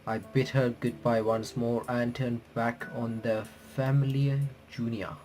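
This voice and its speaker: A man's voice: medium pitch, steady tone, measured pace, darkish tone, a little hiss or hum. A man hosting a game playthrough, reading the text aloud with casual reactions and stumbling over words as he goes. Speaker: host of game reaction videos. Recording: faint music behind the voice. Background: game music.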